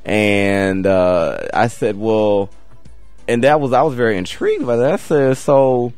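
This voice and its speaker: Deep-voiced male talk show host. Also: lively intonation, a little slow, a little mellow, clear, resonant tone, no room sound, soft background music. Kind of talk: interview and anecdote.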